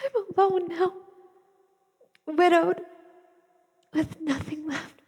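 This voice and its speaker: A solemn widow, played by a woman on stage speaks with slow, deliberate weight. She is grieving yet determined, declaiming her monologue into the long reverb of a hall. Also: extremely high pitch, fairly even intonation, darkish tone, exaggerated voice. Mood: sorrowful. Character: grieving determined widow, sister-in-law preserving a painter's legacy. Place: theatre stage.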